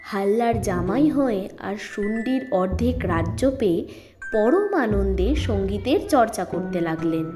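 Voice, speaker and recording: A woman's voice: mid-range voice, lively intonation, unhurried. A woman narrating a scripted story expressively as the storyteller. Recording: loud music behind the narration.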